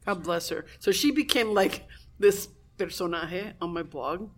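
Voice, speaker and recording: A woman in a casual conversation, animated and laughing often, with a lot of fillers as she talks. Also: lowish voice, unhurried. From Cuban family in Southern California. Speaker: guest, blogger. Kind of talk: casual chat.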